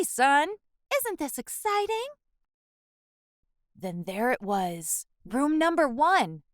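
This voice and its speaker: A woman reading a children's picture book aloud in a measured, expressive storytelling manner. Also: mid-range voice, no echo, clean studio sound. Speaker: children's book reader and channel host.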